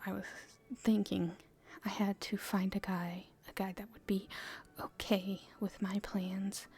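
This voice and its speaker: A woman playing an earnest, hopeful young princess in a soft, halting, breathy whisper for an ASMR roleplay. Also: mid-range voice, lightly acted. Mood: musing, hesitant. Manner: halting, soft-spoken, thinking aloud.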